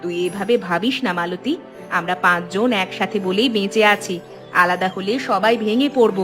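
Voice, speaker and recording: A woman's voice: medium pitch, normal speed, music in the background, lightly acted. A woman playing a sensible married elder sister from a village in a cartoon, with a firm, admonishing manner. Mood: concerned, placating. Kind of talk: cartoon dialogue.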